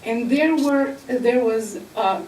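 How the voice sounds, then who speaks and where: A woman from Egypt speaking on a panel, explaining earnestly with filler pauses. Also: fairly high pitch, darkish tone, far from the mic, a little hiss or hum. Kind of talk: panel discussion. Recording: echoey room, mic from afar.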